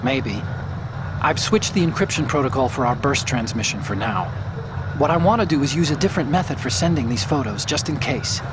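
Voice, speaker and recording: An actor playing an earnest, brainy hacker who gives explanatory mission support in a video game. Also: male voice, medium pitch, animated, brisk, background noise, natural voice. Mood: concerned, earnest.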